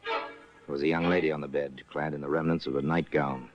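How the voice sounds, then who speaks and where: Very deep, grave radio narrator man. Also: fairly even intonation, brisk, loud music behind the voice. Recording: muffled old radio broadcast.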